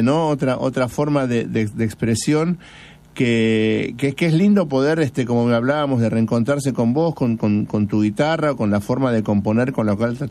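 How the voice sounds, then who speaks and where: A man with an Argentine Spanish accent hosting a radio interview, asking long, halting questions full of fillers. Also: medium pitch, darkish tone. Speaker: radio host.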